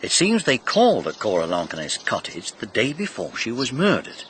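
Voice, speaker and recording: A blunt, sceptical British police inspector in a radio mystery. His bright, forceful voice swings very widely in pitch as he makes his plain-spoken points, with some noise behind him. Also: wildly swooping pitch, normal speed. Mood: informative.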